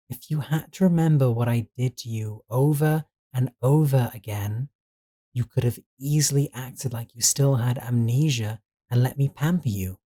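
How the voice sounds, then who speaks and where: Man acting a mellow, obsessive devoted servant. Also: medium pitch, natural voice. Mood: quietly reproachful. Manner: coaxing, deferential yet controlling. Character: obsessive, possessive male servant, soft-spoken and controlling. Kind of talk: scripted roleplay audio drama.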